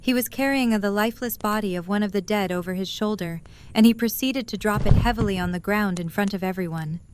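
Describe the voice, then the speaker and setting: A woman's voice: medium pitch, brisk, poor audio. Woman narrating, faint background noise. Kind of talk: scripted story narration.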